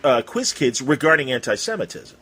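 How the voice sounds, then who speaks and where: A man's voice: mid-range voice, sing-song, fairly quick, sharp tone, husky. A man from Chicago hosting a casual interview in an informal, rambling way. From Chicago, United States. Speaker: interview host.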